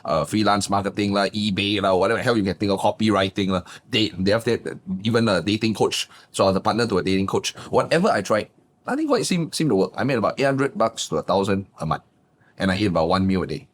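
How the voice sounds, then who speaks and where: A man, a guest business coach telling his backstory in a lively, emphatic way. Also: quick pace. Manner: animated, emphatic, swings pitch up for punchlines. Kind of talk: casual interview storytelling.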